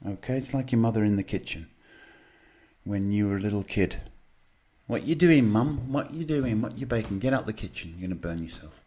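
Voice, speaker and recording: A man hosting live psychic readings, talking casually and haltingly as he advises people, often checking in with a short questioning word. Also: fairly low pitch, lively intonation, dark tone, breathy edge. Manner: halting, repeats "okay" as a check. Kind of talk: live advice to callers, casual spiritual talk.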